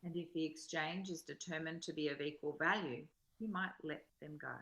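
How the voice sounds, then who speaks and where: Woman with a slightly low voice, earnest and introspective, sharing in a spiritual study group. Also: steady tone, normal speed, a bit bright. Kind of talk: reflective personal sharing and reading aloud.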